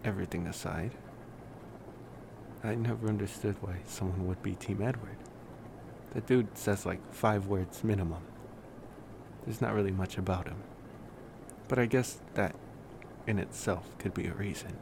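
Man acting as young male vampire, low creaky voice, relaxed affectionate rambling, ASMR roleplay, noisy recording. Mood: casual, wry. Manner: relaxed, hesitant rambling with sighs and pauses. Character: laid-back, rambling college-age male vampire, affectionate and wry. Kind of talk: ASMR roleplay, intimate casual monologue.

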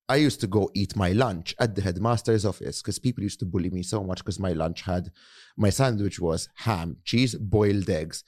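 A man's voice: on the low side, darkish tone, no echo, studio quality. A young man, a guest on a podcast, chatting casually and rambling with lots of fillers and the odd swear word.

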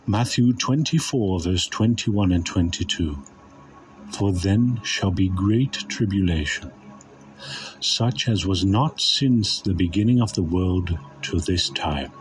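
Male preacher, very slow pace, lowish pitch, solemn, emphatic, scripted scripture narration. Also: faint music behind, rough recording. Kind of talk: dramatic scripted sermon with scripture reading.

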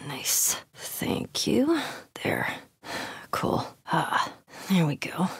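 A woman's voice: fairly low pitch, big pitch swings, extremely slow, creaky, slightly rough, no echo, in a whisper, exaggerated voice. An actress playing a tough, sardonic young American woman survivor in a video game, naturalistic and clipped, often speaking under her breath. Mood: casual, focused.

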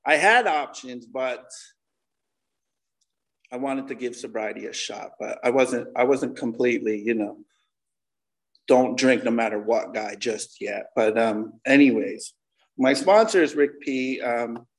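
Man, forties, American accent, medium pitch, candid and slangy, informal testimony at a recovery meeting. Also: measured pace. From Connecticut, United States. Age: 40s. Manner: casual, candid, conversational, slangy.

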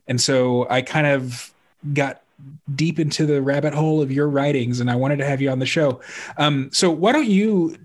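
A man hosting a podcast interview, halting and heartfelt, with many restarts. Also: mid-range voice. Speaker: podcast host.

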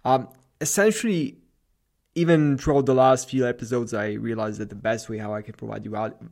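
A man with a Slavic accent hosting a solo podcast, giving a loose, rambling pep talk late at night in his apartment. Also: lowish voice. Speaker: podcast host. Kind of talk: solo motivational podcast monologue.

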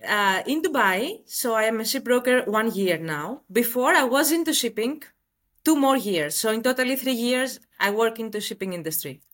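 A woman's voice: fairly high pitch, expressive, moderate pace, fairly bright tone. A woman in her late twenties from Greece with a Greek accent, answering conversationally as an interview guest. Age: late 20s.

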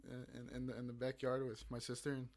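A man's voice: mid-range voice, monotone, quick pace, darkish tone. A young man hosting a podcast, chatting casually, rambling and swearing with lots of fillers. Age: young adult. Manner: rambling, swears a lot, many fillers and restarts. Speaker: podcast host. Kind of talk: casual chat.

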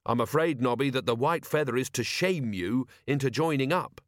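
A man's voice: medium pitch, normal speed, no echo, clean studio sound, lightly acted. A man voicing an earnest, polite young male watch officer who speaks plainly and sincerely, in an audiobook.

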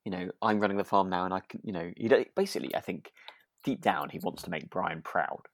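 A man's voice: deep voice, quick pace, no echo, clean studio sound. A British man co-hosting a podcast, chatting casually in a hesitant, giggly, self-deprecating way. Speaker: podcast co-host. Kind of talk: casual chat about a radio drama.

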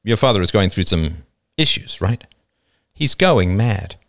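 A man's voice: fairly low pitch, sing-song, measured pace, vocal fry, no echo, clean studio sound, heavily acted. Man playing a blunt, awkward older father.

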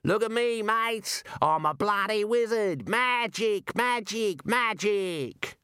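Mocking, cheerfully menacing Australian bandit voiced by a male narrator, high and loud, gleeful taunting, comic audiobook. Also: animated, takes it slowly, clean tone, no room sound, studio quality, exaggerated voice.

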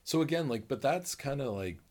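A man's voice: mid-range voice, expressive, a little fast, darkish tone. A North American man co-hosting a podcast, talking casually and enthusiastically with lots of fillers. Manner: rambling, enthusiastic, heavy use of fillers. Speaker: podcast co-host. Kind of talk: casual conversation.

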